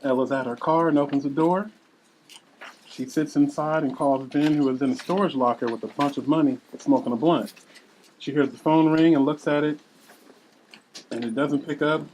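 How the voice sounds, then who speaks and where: Low-key woman reading stage directions. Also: steady tone, moderate pace, a little mellow, slight background noise, low-quality recording. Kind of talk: scripted narration at a table read.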